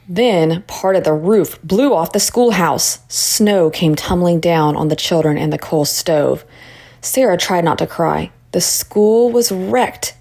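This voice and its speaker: A woman narrating a children's story in an expressive read-aloud style. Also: lowish voice, vocal fry.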